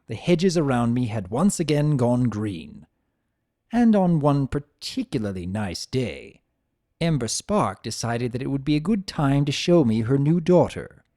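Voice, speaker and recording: A man narrating a story as an expressive, theatrical dramatic reading. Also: medium pitch, unhurried, no room sound, studio quality. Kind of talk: dramatic fan fiction reading.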